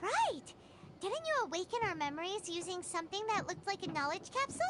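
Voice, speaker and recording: A woman voicing a tiny childlike fairy companion in a video game, squeaky, earnest and exclamatory. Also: expressive, brisk, very noisy. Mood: excited. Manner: refers to herself in the third person, exclamatory.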